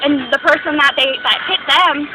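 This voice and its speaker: A woman's voice: high-pitched, fairly quick. An American woman in casual conversation, answering questions as an interviewee. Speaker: emergency dispatcher being interviewed. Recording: noisy outdoor recording with traffic.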